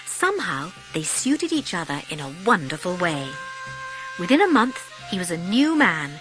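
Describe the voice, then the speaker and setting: A woman's voice: on the low side, very animated, moderate pace, rough recording. A woman narrating a children's audiobook as dramatic, scripted storytelling. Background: music.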